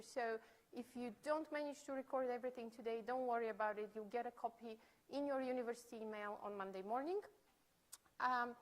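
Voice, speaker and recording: A woman with a fairly high voice leads a study skills workshop for new students. She explains in a friendly, step-by-step way, with frequent fillers between points. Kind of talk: workshop presentation. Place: lecture room.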